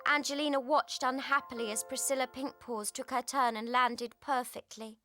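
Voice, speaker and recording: High-voiced woman narrating a children's storybook over music. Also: moderate pace. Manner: expressive storytelling. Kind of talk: scripted children's read-along narration.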